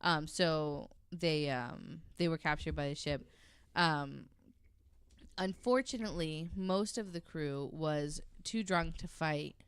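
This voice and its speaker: Low, smooth-voiced woman telling a story casually. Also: slow, no room sound. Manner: chatty, informal, jokey asides. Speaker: podcast guest panelist telling a story.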